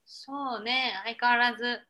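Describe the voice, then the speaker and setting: Bright-voiced woman in casual chat. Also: fairly high pitch, steady tone, a little slow. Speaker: podcast co-host, blogger.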